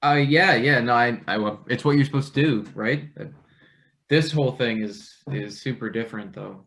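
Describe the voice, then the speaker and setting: A man's voice: mid-range voice, normal speed, a bit bright, natural voice. A man playing a nervous young college intern in a stage play reading, stammering, rambling and self-deprecating. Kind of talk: live table read of a satirical stage play.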